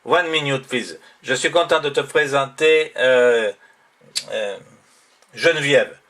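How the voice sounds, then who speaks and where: A French man hosting a conversation group and prompting the others slowly. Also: medium pitch, fairly bright tone. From France. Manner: slow, prompting.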